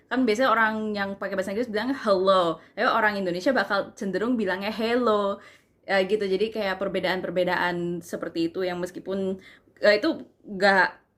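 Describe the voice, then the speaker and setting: A woman's voice: medium pitch, fairly bright tone. A young woman language tutor talking informally, full of casual fillers as she explains her view. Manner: informal, many fillers like gitu and eh. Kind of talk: casual chat.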